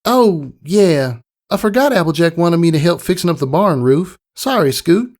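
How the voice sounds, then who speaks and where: A man voicing a bubbly young filly pony in a story reading, gushing and excitable. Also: fairly high pitch, moderate pace, pitched above the performer's own voice, close to an everyday voice. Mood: apologetic.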